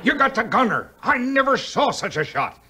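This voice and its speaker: A man acting a brisk, earnest American army colonel, commanding and urgent, in a 1950s audio play. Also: very high-pitched, big pitch swings, sharp tone, husky, exaggerated voice. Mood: urgent, amazed.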